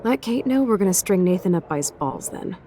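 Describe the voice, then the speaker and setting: A woman playing a brash, loyal, rebellious teenage girl in a video game, blunt and slangy with a gravelly voice. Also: mid-range voice, lively intonation, a little fast, warm tone, slight background noise, close to an everyday voice. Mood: encouraging, cocky. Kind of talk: naturalistic video game acting.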